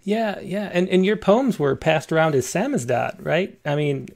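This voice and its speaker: A man hosting an interview, asking questions in a halting way and repeating words. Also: on the high side, animated, vocal fry, darkish tone. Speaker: interviewer, host.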